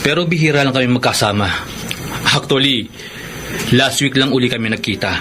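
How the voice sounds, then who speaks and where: A man's voice: mid-range voice, brisk, close to an everyday voice. An actor playing a remorseful young man in a Filipino radio drama, with an earnest, confessional delivery and a Filipino accent. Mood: earnest, apologetic. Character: remorseful young man who jilted his bride. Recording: noisy radio drama recording.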